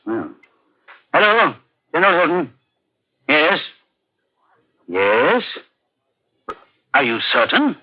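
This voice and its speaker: An actor playing an earnest, authoritative army general in a 1950s radio drama, brisk and weighty. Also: male voice, fairly high pitch, very animated, husky, exaggerated voice. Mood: urgent, grave. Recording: muffled old radio recording.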